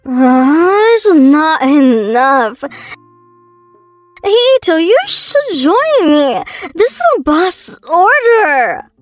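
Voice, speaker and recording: Woman voicing young female boss, high voice, tipsy, whiny, bossy, manga dub, dry studio sound, faint music. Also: big pitch swings, extremely slow, no echo, full character voice. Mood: drunk, demanding. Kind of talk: manga dub character acting.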